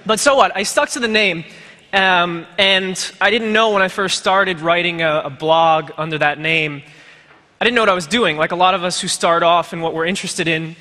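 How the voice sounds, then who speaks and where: Man in his twenties, fairly high, forceful bright voice, joking and rambling talk, reverberant lecture hall. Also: normal speed. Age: 20s. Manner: rambling, wry, full of fillers and asides. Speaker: conference speaker. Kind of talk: self-deprecating joking talk.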